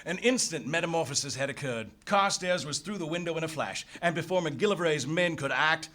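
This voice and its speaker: An earnest, dogged adventurer hero, acted by a man, with a fairly high voice. He tells his tale in suspenseful, theatrical old radio drama fashion. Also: brisk, pushed and bright. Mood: suspenseful recounting. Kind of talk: dramatic first-person narration in a live radio play.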